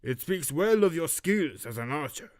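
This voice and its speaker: A man voicing a stern master archery instructor with a muddled, Romanian-like Eastern European accent, lecturing in a measured, severe way for an audio drama. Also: fairly high pitch, expressive, lightly acted. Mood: cold, solemn. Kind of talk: fan audio drama character acting.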